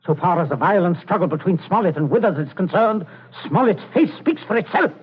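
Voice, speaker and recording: An actor playing a pompous, scheming middle-aged English father with a British accent and a husky voice, in 1940s radio drama style. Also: sits high, lively intonation, a little fast, exaggerated voice. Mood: haughty, irritated. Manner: haughty, clipped, dismissive. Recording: tinny, narrow 1940s radio recording.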